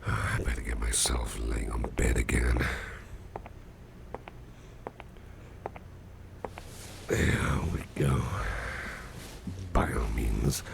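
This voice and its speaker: An extremely low, heavily crackling voice of a sickly boss, acted by a man in an ASMR roleplay. He half-whispers slowly and tenderly, grateful and a little self-deprecating, with folksy warmth in his wording. There is clear noise behind him. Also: little pitch movement, exaggerated voice. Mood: weary, grateful. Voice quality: gravelly, breathy near-whisper. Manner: slow, hesitant, folksy and tender. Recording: noticeable background noise, soft ambience.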